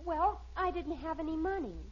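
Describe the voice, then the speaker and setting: An actress playing a flustered, evasive American teenage daughter in a 1950s radio sitcom, hesitant and plaintive. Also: female voice, very high-pitched, moderate pace. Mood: plaintive, defensive. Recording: dull old radio recording.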